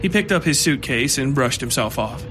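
Guy reading a dark horror story, measured delivery, medium pitch, music playing behind. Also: expressive. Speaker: narrator. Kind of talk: scripted horror fiction narration.

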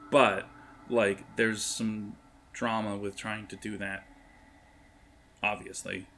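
Man, game master, creaky voice, casual tabletop narration, hesitant rambling phrasing, faint noise. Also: lowish voice, level tone, slow, pushed and bright.

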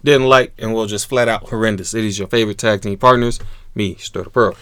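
A man's voice: lowish voice, brisk, clean tone, no echo. A man co-hosting a casual podcast, joking and cursing freely, the father of grown kids. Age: older. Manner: animated, joking, swears freely. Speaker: podcast co-host. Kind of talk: casual chat.

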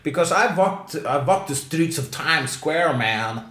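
A man's voice: on the high side, animated, unhurried, a bit bright, echoey room. A man telling travel stories in a casual podcast chat, rambling with lots of fillers. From an island nation. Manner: rambling, filler-heavy storytelling. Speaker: comedian and podcast guest. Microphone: desk microphone.

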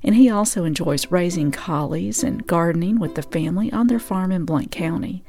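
A woman's voice: fairly low pitch, darkish tone. A woman from the United States reading scripted narration as the host of a radio show and podcast.

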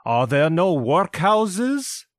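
A man voicing a sorrowful, stern male ghost who loudly declaims warnings. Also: fairly high pitch, sing-song, slow pace, no echo, studio quality, pitched above the performer's own voice.

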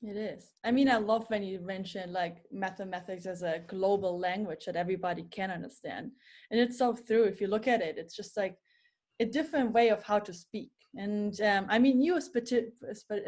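A young adult woman hosts an interview in a relaxed way. She keeps filling her sentences with "like" and "right", and her pitch sits in a middle range. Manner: casual, full of "like" fillers. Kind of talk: casual interview talk.

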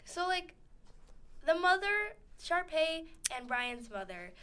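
A woman's voice: extremely high pitch, very animated, slow, a crowd in the background. A teenage girl co-hosting a casual radio chat, rambling, giggling and acting out characters as she recaps scenes. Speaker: co-host. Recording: radio studio.

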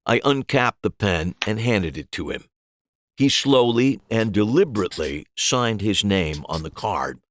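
A man's voice: fairly low pitch, a bit bright. A man narrating a scripted first-person story as a storyteller.

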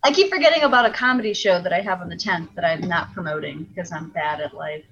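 A woman's voice: on the low side, fast talker, fairly bright tone, lo-fi sound. A woman comedian co-hosting a podcast, frank and joking, a little tipsy. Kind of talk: casual podcast chat.

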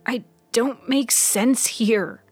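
A woman voicing a weary, frail, troubled woman in a hospital bed, halting and strained, in a story podcast. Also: fairly high pitch, a little mellow, slightly rough, faint music behind, voice pushed up, full character voice. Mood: strained, insistent. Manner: halting, strained, sometimes harsh. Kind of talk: serial fiction audio storytelling.

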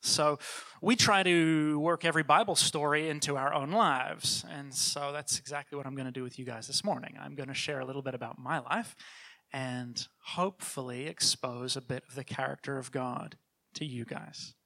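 Bright-voiced man, softened New Zealand accent. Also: mid-range voice, steady tone, moderate pace, vocal fry. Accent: New Zealand, softened by years in Canada. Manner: earnest, conversational, with frequent restarts. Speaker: children's ministry leader. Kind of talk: church talk with personal testimony.

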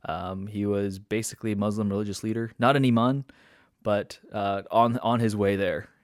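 Young man from Indonesia, rambling conversational guest with a low voice, dry close sound. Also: fairly even intonation, moderate pace, dry recording. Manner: rambling storyteller, many fillers and restarts, laughs often. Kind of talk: casual conversation.

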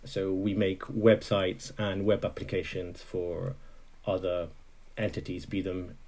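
Low gravelly man from Italy. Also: level tone, measured pace, darkish tone. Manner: thoughtful, full of restarts and fillers. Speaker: guest, software engineer. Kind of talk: interview conversation.

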